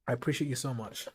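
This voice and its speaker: A man running a late-night live stream, talking casually and directly to his chat, with his voice gone hoarse from hours of talking. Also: medium pitch, a little mellow. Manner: casual, chatty, talks straight to his chat.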